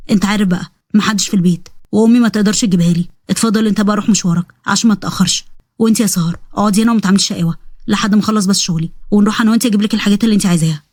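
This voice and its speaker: A woman's voice: medium pitch, very fast, darkish tone, dry recording, clean studio sound, natural voice. A woman with an Egyptian accent voicing a young man, a protective and earnest older brother.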